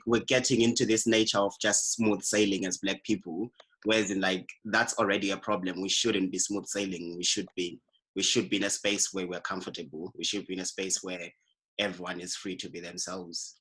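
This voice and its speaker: A man's voice: low-pitched, steady tone, moderate pace, a bit bright, slightly rough, rough recording. A man talking casually in a podcast discussion as its host.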